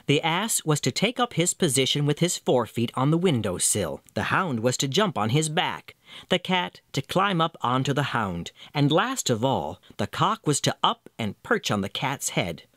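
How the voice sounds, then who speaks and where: A man reading a scripted fairy tale as storyteller, with expressive delivery. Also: mid-range voice, moderate pace, no room sound, studio quality. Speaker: storyteller, narrator.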